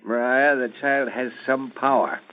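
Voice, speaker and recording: A man's voice: mid-range voice, steady tone, measured pace, close to an everyday voice. A man acting in an old-time radio drama as an amazed, eager father, hesitant and repeating himself in wonder. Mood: awed, determined. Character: amazed, eager father of a child prodigy, 1810 New England. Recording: thin, narrow vintage radio recording.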